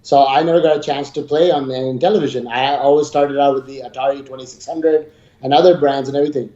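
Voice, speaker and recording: A man in his thirties gives chatty, emphatic interview answers. His voice sits a little high and is soft-edged and mellow. The recording is muffled, with clear room echo. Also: steady tone, fast talker. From Dubai, UAE. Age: 30s. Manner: talkative, informal, emphatic. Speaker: interview guest, gaming company regional director. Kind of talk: enthusiastic conversational interview answers. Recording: muffled, echoey room sound.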